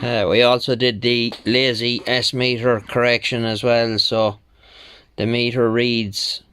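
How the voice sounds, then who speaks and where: A man giving a casual hands-on repair walkthrough as a technician, in a halting, matter-of-fact way. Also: mid-range voice, little pitch movement, fairly bright tone, a little hiss or hum. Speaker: radio repair technician presenting own work.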